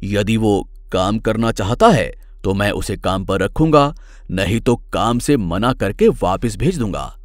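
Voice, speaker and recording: A man playing a stern but fair employer who moralizes in a measured, admonishing way in a cartoon story. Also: on the low side, lively intonation, no room sound, studio quality. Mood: pondering.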